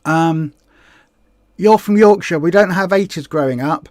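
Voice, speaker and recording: A woman's voice: on the low side, unhurried, darkish tone, dry recording, clean studio sound. A woman with a Southeast English accent hosting a casual livestream, chatting in a rambling way and correcting herself as she reads viewer comments. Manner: rambling, self-correcting, many fillers. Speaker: livestream host.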